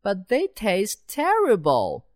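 A woman voicing a small, lively city mouse in a children's storybook, very high and squeaky. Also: takes it slowly. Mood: coaxing. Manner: very high, excited, bossy whisper-to-squeal.

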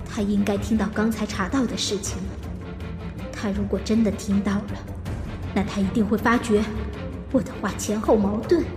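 A woman's voice: mid-range voice, fairly even intonation, normal speed, music in the background, close to an everyday voice. A woman acting a composed, guarded married lady who hides her anxiety, in an audio drama. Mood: anxious inner monologue. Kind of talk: Mandarin audio drama dialogue and inner monologue.

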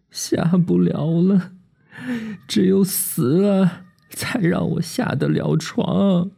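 A man voicing a frail, bedridden old grandfather who talks weakly and slowly with sighs. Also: sits high, gravelly, warm tone, voice pushed up, heavily acted. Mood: weary. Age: older. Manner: weak, slow, sighing.

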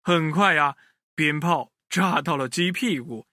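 A man telling a children's story in an expressive way, speaking directly to his young listeners. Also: a little high, unhurried, dry recording, studio quality.

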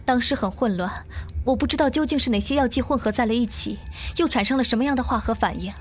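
A woman's voice: on the high side, quick pace, natural voice. A woman playing a serious, determined survivor with a scientific bent in an audio drama, explaining things earnestly.

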